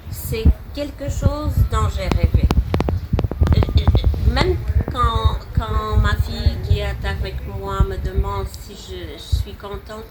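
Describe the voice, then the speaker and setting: Slow, halting woman with a Polish accent. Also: mid-range voice. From Poland. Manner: halting and emphatic, restarts and repeats words. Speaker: satisfied client giving a testimonial. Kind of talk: unscripted testimonial. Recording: steady background noise.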